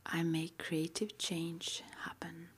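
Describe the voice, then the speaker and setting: A woman performs whispered ASMR, reading short affirmations one by one. Her voice is extremely breathy and almost entirely unvoiced, sitting a little low. She speaks deliberately, leaving pauses between brief phrases. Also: completely flat delivery. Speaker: ASMR roleplay host.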